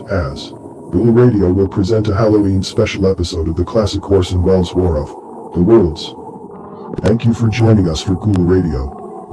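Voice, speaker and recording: Deep-voiced man hosting a horror show. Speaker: host of a horror radio show. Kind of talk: scripted show announcements. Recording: clear background noise behind voice.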